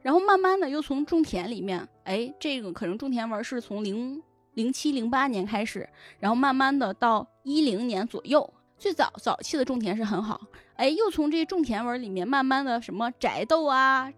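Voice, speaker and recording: A woman's voice: a little high, expressive, normal speed, faint music behind. A woman talking casually as a podcast guest, explaining things step by step with plenty of examples. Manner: explanatory, illustrates points with examples and imagined reader voices. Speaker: guest, web fiction editor.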